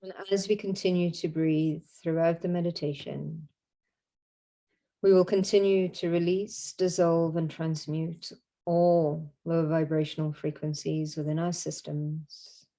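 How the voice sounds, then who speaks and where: Mellow, low-voiced woman guiding a meditation. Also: level tone. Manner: soothing, unhurried, short phrases with long pauses. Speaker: meditation guide. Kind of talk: guided meditation narration.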